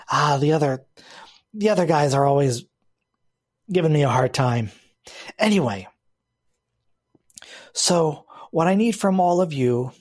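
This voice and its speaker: A man's voice: mid-range voice, darkish tone. A man narrating as game master of a tabletop game, often restarting phrases and laughing.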